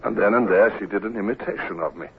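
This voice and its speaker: Guy acting a british navy captain, earnest, bit hesitant, low voice, tinny old radio drama w/ hiss. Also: fast talker, lightly acted. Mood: earnest recounting. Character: earnest, flustered young British naval captain, recounting his past. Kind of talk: first-person radio drama narration.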